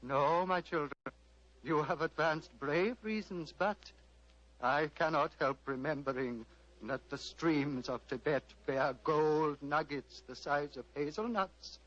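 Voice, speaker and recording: An actor playing a serene, fatherly old Tibetan abbot in a measured, formal radio drama style. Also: a little high. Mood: calm, fatherly. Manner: measured, formal, gently paternal. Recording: muffled vintage radio, hum and hiss.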